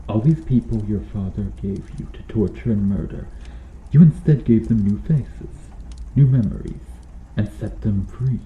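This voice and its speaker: A man playing a stern medieval knight in an ASMR roleplay, questioning formally and earnestly with dramatic pauses. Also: lowish voice, echoey room, narrow, tinny audio, natural voice. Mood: grave, accusing. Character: stern medieval knight interrogating an accused noble witch.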